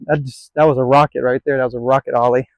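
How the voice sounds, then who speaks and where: A man's voice: mid-range voice, little pitch movement, fast, dull audio, background noise. A man in his late twenties filming a casual skateboarding vlog, rambling and self-deprecating, swearing now and then. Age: 20s. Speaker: skateboarding vlogger.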